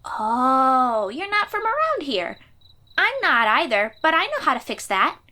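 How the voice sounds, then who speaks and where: Fantasy audio story role: plucky young girl warrior from the sea played by a woman, bright and lively, unhurried, perky. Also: high voice, exaggerated voice. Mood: friendly, confident. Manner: perky, informal, expressive. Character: confident thirteen-year-old sea-dweller girl warrior, cheery and chatty. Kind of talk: fantasy audio roleplay addressed to the listener.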